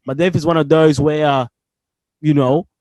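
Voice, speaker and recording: A man's voice: a little high, steady tone, normal speed, a little mellow, no room sound. A young man with an Australian accent in casual podcast chat, slangy and loose. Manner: slangy, says "bruv" and "you know what I mean". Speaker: podcast co-host.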